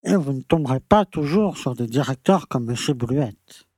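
A man's voice: mid-range voice, animated, normal speed, darkish tone, husky, no room sound, clean studio sound. A man playing a pompous, condescending and evasive small-town mayor in an audio drama. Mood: patronizing. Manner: pompous, patronizing.

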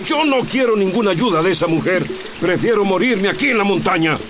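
A man's voice: high voice, lively intonation, a little fast, a crowd in the background. An actor with a Central American accent playing a bitter, stubborn village man in a radio drama. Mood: bitter, defiant.

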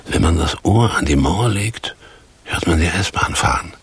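An actor playing a bitter, boastful down-and-out former stage actor in a German radio play, with a theatrical, sardonic, crude manner and a gravelly voice. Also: male voice, expressive, unhurried, a bit bright, exaggerated voice. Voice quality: very deep, gravelly, husky.

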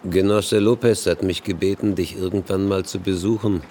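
An actor playing a tall, mysterious chauffeur in a radio drama, quiet and reserved in manner. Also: male voice, low-pitched, steady tone, normal speed, slight background noise, lightly acted.

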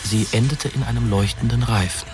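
An actor as the composed narrator of a German science fiction radio drama, reading scripted prose. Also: male voice, on the low side, fairly even intonation, fairly quick, lightly acted. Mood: measured, suspenseful. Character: composed male storyteller of a science fiction tale. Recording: studio narration over music bed.

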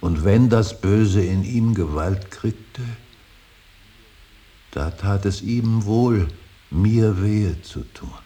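An actor playing an older, grieving father in a radio drama, brooding and solemn, with halting, weighty declamation that often sinks into breathy whispers. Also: fairly low pitch, dark tone, full character voice. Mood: bitter, anguished. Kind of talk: German radio drama acting, solemn monologue. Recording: hissy, faintly noisy radio recording.

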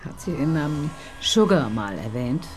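A sardonic middle-aged detective, dry and teasing, her voice rising and falling a great deal. She sounds sharp and ironic in a radio crime play. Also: low voice, lively intonation, moderate pace, slight background noise. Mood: wry. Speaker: detective chief inspector. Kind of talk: radio crime drama acting.

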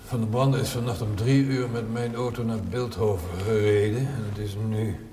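Actor as a mellow, measured man, very reverberant. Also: fairly low pitch, fairly even intonation, lightly acted. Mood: wry, probing. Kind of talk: naturalistic television drama acting. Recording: roomy, distant, faintly noisy 1970s television soundtrack.

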